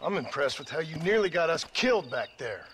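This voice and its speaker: An actor playing a curt, impatient and menacing Old West gunslinger in a video game. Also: male voice, a little high, very animated, brisk, a bit bright, slight background noise. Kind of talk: dramatic video game acting.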